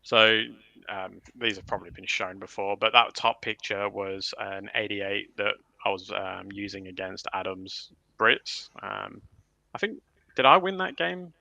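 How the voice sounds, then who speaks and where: A man's voice: fairly low pitch, measured pace, gravelly, pushed and bright, no room sound, rough recording. A man chatting casually about his miniature wargaming hobby on a livestream, with a self-deprecating manner. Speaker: livestream panel member.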